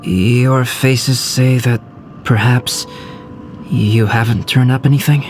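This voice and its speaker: A man playing a calm, reserved young alchemist in an anime game, speaking in a measured, formal way. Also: mid-range voice, noisy background, close to an everyday voice. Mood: composed. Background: background music.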